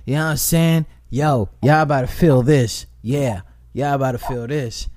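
A man with a high voice hosting a casual radio chat, animated and profane, giving shout-outs and often repeating himself. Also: moderate pace, no room sound, studio quality. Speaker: internet radio host.

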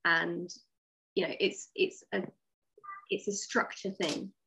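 A woman's voice: fairly low pitch, steady tone, slow pace, gravelly, sharp tone, a little hiss or hum, rough recording. A woman speaking as a writer being interviewed, in a casual, conversational way. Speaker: interview guest, science fiction writer.

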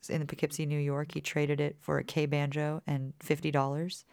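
A woman in her thirties with a low voice, chatting casually as a musician guest and filling her talk with "like". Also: little pitch movement, brisk, darkish tone, no room sound. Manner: chatty, peppered with "like" fillers. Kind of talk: casual interview chat.

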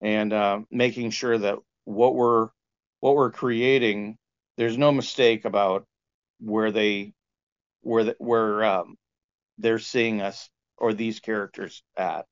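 A man being interviewed as a sound engineer, explaining in a conversational, halting way with lots of "you know". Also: fairly low pitch, fairly even intonation, clear, resonant tone. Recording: dull, lo-fi band-limited sound.

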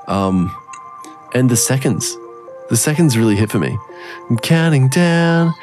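A man with a croaky, strained voice, co-hosting a podcast in casual, sweary, enthusiastic music review chat. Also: mid-range voice, animated, measured pace. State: voice strained from singing. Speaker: podcast co-host.

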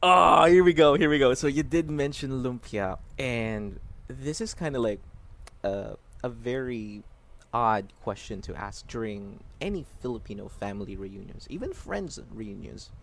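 A man's voice: medium pitch, lively intonation, unhurried, gravelly, fairly bright tone, a little hiss or hum. A man hosting a casual podcast chat, laughing often and slipping into playful quoted asides. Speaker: podcast host.

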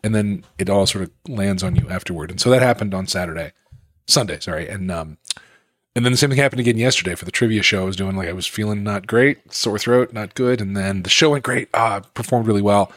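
A man with a low voice, husky and rough from a sore throat, co-hosting a casual podcast. He jokes at his own expense in loose conversation. The recording is dry and close. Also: fast, a bit bright, dry recording. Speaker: podcast co-host and musician.

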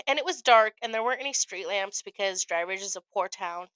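Bright-voiced young American woman telling stories. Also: mid-range voice, normal speed, dry recording, poor audio. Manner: animated retelling with acted-out quotes, frequent profanity. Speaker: podcast co-host. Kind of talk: casual chat, storytelling.

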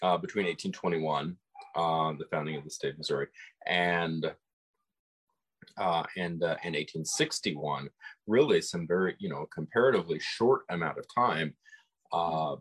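A man co-hosting a podcast, talking in a measured, thoughtful way with frequent restarts. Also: low-pitched, a bit bright, husky, poor audio. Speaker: podcast co-host. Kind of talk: conversational discussion of folklore.

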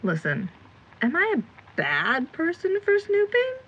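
A woman's voice: very high-pitched, huge pitch swings, measured pace, a bit bright, heavily acted. A young woman playing a jittery, chatty amateur ghost investigator in an audio drama, talking in casual, rambling slang. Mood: musing, nervous. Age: young adult. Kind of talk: audio drama acting, chatty self-talk. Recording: muffled recording with background noise.

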